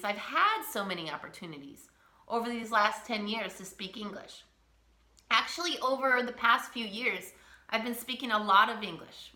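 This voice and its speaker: A woman speaks earnestly as a language coach and presses her main points by repeating them. Her voice sits a little high and sounds bright and forceful. The room around her is echoey. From United States. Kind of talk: direct-to-camera teaching talk.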